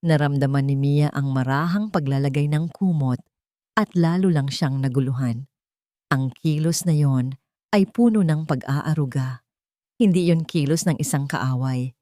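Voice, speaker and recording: A woman's voice: sits low, a little slow, warm tone, no room sound, studio quality. A woman narrating a scripted drama story in a suspenseful, emotive manner.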